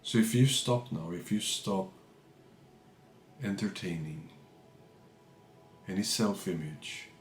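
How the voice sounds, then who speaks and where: Slow, low-voiced man guiding meditation. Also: echoey room, faint music behind. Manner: calm, measured, pausing between short phrases. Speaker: meditation teacher. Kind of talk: guided meditation, unscripted spiritual talk.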